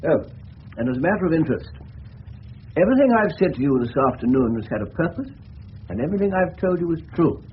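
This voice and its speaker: An actor playing an eccentric, shrewd older English general who questions people in a genial, digressive, slightly pompous way in a radio drama. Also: male voice, on the high side, very animated, quick pace. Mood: measured, knowing. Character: eccentric, shrewd older gentleman general turned amateur detective. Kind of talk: 1970s radio drama acting. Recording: dull, noisy old radio broadcast recording.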